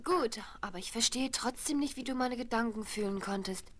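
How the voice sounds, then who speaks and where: A woman's voice: a little high, a little fast, a little hiss or hum, lightly acted. An actress playing an earnest, thoughtful, horse-loving young girl with a sincere, hesitant manner in a radio play. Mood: puzzled. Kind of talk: 1970s radio play acting.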